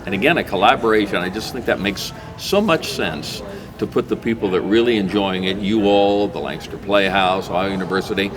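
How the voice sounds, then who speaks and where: Male American host, low-pitched, chatty and encouraging, casual interview over clear background chatter. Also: a little fast. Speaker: talk show host.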